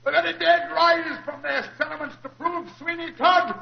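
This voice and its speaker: A man's voice: very high-pitched, moderate pace, vocal fry, noisy background, full character voice. An actor playing a crazed, guilt-ridden male villain, declaiming in the overwrought style of 1940s radio melodrama. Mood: haunted, declaiming. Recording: muffled 1940s radio recording.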